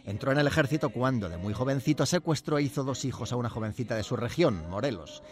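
A man's voice: mid-range voice, lively intonation, fast talker, faint music behind. Wry male history presenter. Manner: wry, ironic asides. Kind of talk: scripted humorous history narration.

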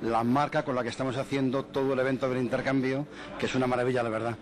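A man's voice: mid-range voice, level tone, fast, slight background noise. A man interviewed as a business owner, answering with enthusiastic, salesy praise. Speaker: bar owner guest.

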